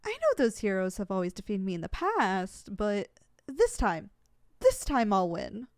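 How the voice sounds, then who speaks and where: A woman's voice: medium pitch, wildly swooping pitch, measured pace, dry recording, studio quality. A woman playing a proud but easily flustered, lovesick young supervillain in an affectionate, dramatic ASMR roleplay. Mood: proud, playful.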